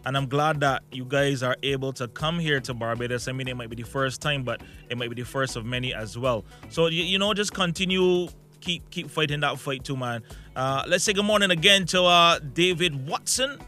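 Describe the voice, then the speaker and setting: A man's voice: medium pitch, moderate pace, sharp tone. A man hosting a radio morning show, interviewing guests in an informal, encouraging way. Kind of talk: casual broadcast interview. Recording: music playing behind the voice.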